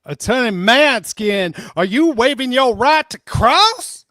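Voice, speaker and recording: A sarcastic attorney, played by a reader, pressing a witness at a slow, deliberate pace in a dry recording. The questions come pointed and needling, as a dramatic reading of a deposition. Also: medium pitch, expressive, pushed and bright, dry recording. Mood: stern.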